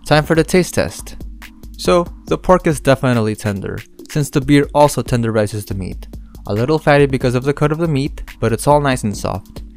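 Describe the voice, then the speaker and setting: A man from the Philippines walks viewers through a home recipe in voice-over. He speaks in a relaxed, instructional way with occasional dry jokes, and faint music plays behind him. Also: medium pitch, brisk, creaky. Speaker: cooking channel host. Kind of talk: voice-over cooking narration.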